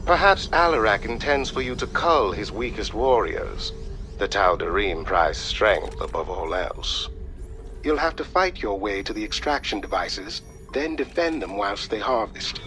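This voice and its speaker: Game science advisor, lowish voice, formal n precise but lively intonation, bit of music behind. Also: male voice, a little slow. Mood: composed. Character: composed, clever male scientist advisor briefing an agent. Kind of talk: video game mission briefing.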